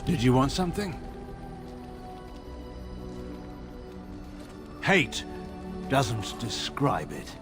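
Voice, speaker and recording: Over a game score, a middle-aged warrior lord, proud and world-weary. His pitch moves widely through grave, formal phrases. A dry, sardonic edge runs through his measured speech. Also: very animated. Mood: grave, bitter. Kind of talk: dramatic fantasy video game dialogue. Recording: studio voice with game music behind. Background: music.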